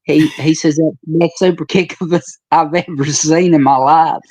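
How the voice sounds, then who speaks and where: A man's voice: on the high side, fairly even intonation, normal speed, a little mellow, dry recording. A man in his forties chatting casually and telling stories in a folksy, colloquial way as a retired wrestler on a podcast. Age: 40s. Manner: folksy storyteller with colloquial rural phrasing. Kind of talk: casual reminiscing chat.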